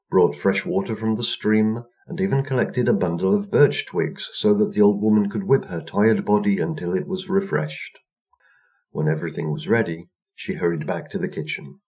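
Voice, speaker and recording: Male storyteller, low register, reading a children's folktale. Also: a little mellow. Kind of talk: scripted folktale narration for children.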